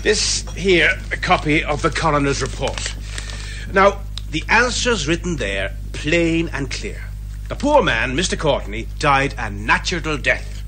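A genial, bumbling inspector in a radio detective comedy. His voice is fairly high and lively, and he rambles along hesitantly in a folksy way, with faint music at times. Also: measured pace, exaggerated voice. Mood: apologetic, rambling. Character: bumbling, genial police inspector with creaky memory. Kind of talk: radio comedy drama acting.

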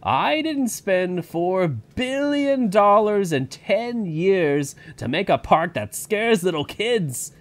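Man playing a high-voiced, domineering old tycoon. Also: animated, slow, hardly any pauses. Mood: insistent. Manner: emphatic, scolding. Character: domineering old billionaire park founder, irritable and insistent. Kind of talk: audio drama acting.

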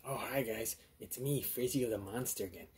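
A man voicing a friendly, childlike little monster puppet, excitable and easily flustered, exclaiming in repeated phrases. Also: mid-range voice, normal speed, slight background noise, voice pushed up, lightly acted. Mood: excited. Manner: repetitive, wide-eyed exclaiming. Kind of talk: children's puppet show skit.

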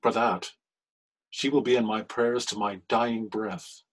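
An older man playing a devout, forgiving husband in a period radio drama, gentle and measured. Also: lowish voice, steady tone, breathy edge, poor audio. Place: room at home, video call.

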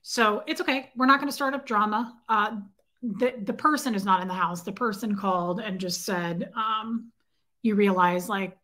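A woman's voice: medium pitch, fairly bright tone. A woman in her forties with a slightly scratchy, strained throat, chatting casually on a home livestream, distracted and greeting viewers by name. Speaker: livestream host. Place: home living room. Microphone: webcam at desk.

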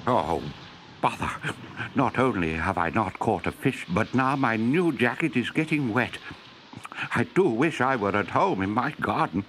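A man's voice: mid-range voice, expressive, measured pace, a bit bright, slight background noise, pitched above the performer's own voice, low-quality recording. A man voicing a cheerful, absent-minded professor in a storybook, stammering and exclaiming as he goes. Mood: grumbling.

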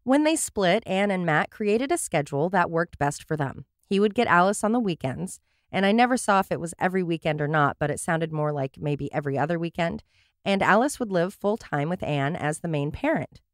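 Woman true crime host, wry and sarcastic; low voice, dry close sound. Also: animated, a little fast, no echo. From Portland area, USA. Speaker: podcast host telling a true crime case. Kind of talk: scripted true crime narration with asides.

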